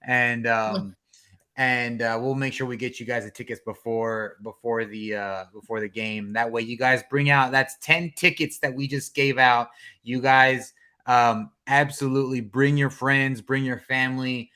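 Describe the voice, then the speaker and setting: A man's voice: mid-range voice, little pitch movement, normal speed, pushed and bright, clean tone. A man hosting a sports fan podcast, chatting loosely with plenty of ums and restarts. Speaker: podcast host. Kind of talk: casual fan podcast chat.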